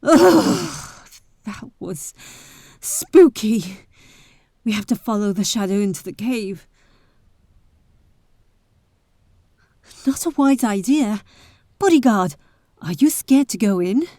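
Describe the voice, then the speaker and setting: A bold adventurer heiress played by a woman speaks slowly and close in a dry space. She is caring yet wary, with breathy asides and hesitant pauses, as in an audio roleplay aimed at the listener. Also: mid-range voice, animated, mellow, no echo. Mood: alarmed.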